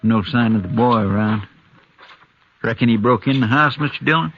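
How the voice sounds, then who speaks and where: Man acting western sidekick, mid-pitched, rustic, deferential, faintly noisy 1950s radio drama. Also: moderate pace, close to an everyday voice. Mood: watchful. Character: loyal, folksy deputy sidekick to a western marshal. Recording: dull, noisy old radio recording.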